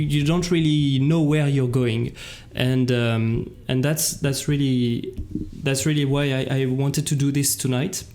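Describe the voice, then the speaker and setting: A man's voice: medium pitch, darkish tone. A man hosting a casual livestream chat and introducing guests, often repeating words as he gropes for phrasing. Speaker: show host.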